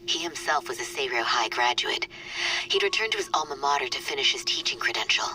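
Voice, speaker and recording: A woman playing a serious, composed defense attorney with a measured, businesslike manner in a video game cutscene. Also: extremely low pitch, expressive, rough recording. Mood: grave. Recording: game music under the voice. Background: music.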